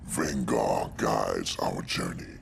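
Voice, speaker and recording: Cavernously deep, gravelly spiritual warrior. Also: male voice, expressive, slight background noise, exaggerated voice. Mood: solemn. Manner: slow, grave, ceremonious. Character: huge, solemn, spiritual male warrior. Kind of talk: video game character acting.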